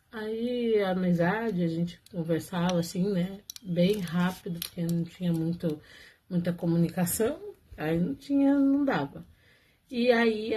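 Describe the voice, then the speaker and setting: A woman tells her own story to her viewers, chatty and lively, breaking into laughter now and then. Her voice sits at a middle pitch with a soft, mellow tone. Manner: chatty, animated, laughs often, addresses viewers. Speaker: vlogger telling her love story.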